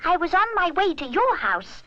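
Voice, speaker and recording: A woman as a high-voiced childlike boy pig. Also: sing-song, moderate pace, pushed and bright, highs cut off, heavily acted. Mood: eager. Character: greedy, amiable young pig, a boy.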